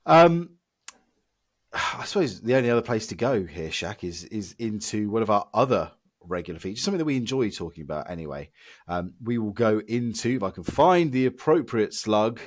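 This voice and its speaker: A man co-hosting a podcast, hoarse and losing his voice from illness, ranting in an exasperated, emphatic way. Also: lowish voice, big pitch swings, normal speed, no room sound, clean studio sound. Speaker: podcast co-host. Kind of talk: casual podcast chat.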